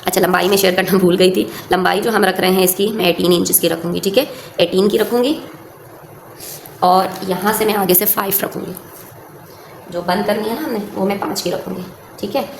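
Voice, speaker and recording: A woman's voice: mid-range voice, fairly even intonation, a little fast, creaky. Casting: female craft tutorial host, mid-range voice, sore throat, conversational step-by-step delivery, noisy recording with clicks. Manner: conversational, addresses viewers with frequent check-in tags. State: sore throat, frequent throat clearing.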